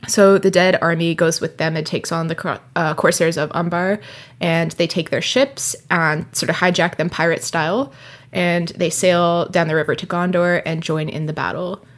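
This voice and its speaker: A woman's voice: on the low side, fairly even intonation, moderate pace. A young adult woman co-hosting a podcast, chatting casually and summing up a book with lots of filler words. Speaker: podcast co-host. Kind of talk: casual chat and book synopsis.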